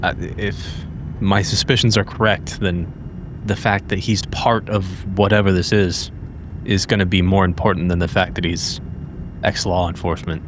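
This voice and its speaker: A man with a lowish voice roleplays at a tabletop game. His delivery is blunt and hard-boiled, and there is clear noise in the background of the recording. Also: steady tone, moderate pace. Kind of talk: improvised in-character roleplay.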